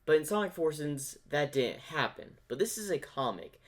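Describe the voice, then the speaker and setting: A man's voice: on the high side, expressive, moderate pace, fairly bright tone. A man giving casual commentary on a fan comic dub, thinking out theories in a rambling, speculative way. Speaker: reaction video host.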